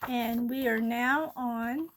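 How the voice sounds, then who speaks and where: A woman's voice: on the high side, takes it slowly. A woman hosting an art channel, chatting casually while she sketches, a little congested from allergies and often dropping to a near-whisper.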